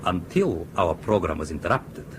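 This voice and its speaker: Stern, unyielding Soviet ship's captain. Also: male voice, low voice, lively intonation, normal speed, background noise. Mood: coolly formal. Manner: formal, curt, precise. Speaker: actor in a radio drama. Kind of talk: broadcast radio drama acting.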